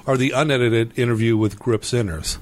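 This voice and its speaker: A man's voice: mid-range voice, fairly quick, a little hiss or hum. A man banters on a podcast, teasing and joking. His voice sits in an ordinary middle range. His talk is loose and casual, with the odd curse. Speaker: podcast co-host. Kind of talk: casual chat.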